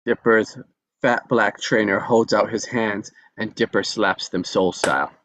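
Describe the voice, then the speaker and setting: Low-voiced man reading stage directions. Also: fairly even intonation, measured pace, a bit bright, dry recording, poor audio.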